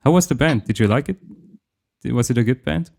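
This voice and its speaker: A man's voice: mid-range voice, lively intonation, a little fast, creaky, a little mellow. A man hosting a podcast, asking short questions and repeating words in a casual interview. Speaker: podcast host.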